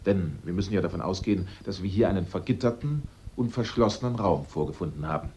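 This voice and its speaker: A man playing a self-assured amateur sleuth in a German radio drama, speaking politely and deliberately. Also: low-pitched, lively intonation, dark tone. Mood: deliberate, commanding. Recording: dull radio recording, faint noise.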